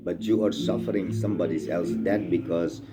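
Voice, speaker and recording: A man with a Dutch accent speaks English in a very low voice, rambling through a philosophical spiritual talk. His delivery is blunt and emphatic. Loud plucked guitar music plays over him throughout. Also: normal speed.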